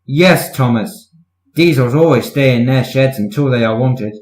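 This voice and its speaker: A man's voice: mid-range voice, moderate pace, darkish tone, reverberant, close to an everyday voice. A man playing a stern, pompous railway controller who admonishes, in a children's storybook reading.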